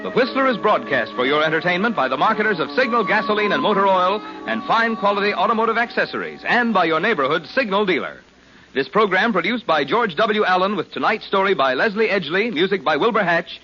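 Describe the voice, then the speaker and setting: American male vintage radio pitchman, highish, forceful and animated, salesmanlike; old dull recording. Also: a little fast, faint music behind. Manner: polished, salesmanlike. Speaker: radio announcer. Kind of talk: 1940s radio sponsor announcing. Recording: muffled vintage 1940s radio broadcast.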